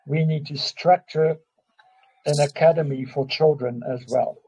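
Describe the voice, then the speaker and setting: Husky-voiced man, slow and halting. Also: fairly high pitch, fairly even intonation, mellow, rough recording. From South Africa. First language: Afrikaans. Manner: halting, restarts often, openly emotional. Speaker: guest. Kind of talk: casual conversation.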